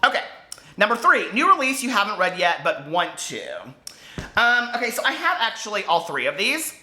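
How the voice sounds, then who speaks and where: A man chatting casually to camera as a book vlogger, gushing and animated, wandering off on tangents with lots of filler words. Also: high-pitched, moderate pace. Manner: gushing, digressive, peppered with fillers.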